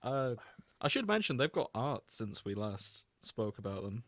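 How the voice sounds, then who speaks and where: Animated man chatting on a podcast. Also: mid-range voice, a little slow, dry recording. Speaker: podcast co-host and reader. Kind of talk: casual joking chat.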